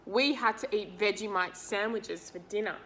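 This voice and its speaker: A woman's voice: medium pitch, normal speed, a bit bright, slight background noise, low-quality recording. An Australian woman reading a picture book aloud to young children, expressively, talking to them directly with simple explanations and questions.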